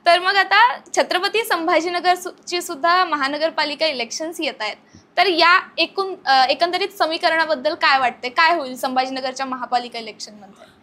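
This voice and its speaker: A woman's voice: very high-pitched, normal speed, bright, forceful tone. A woman interviewing a guest on a podcast, putting conversational questions about politics.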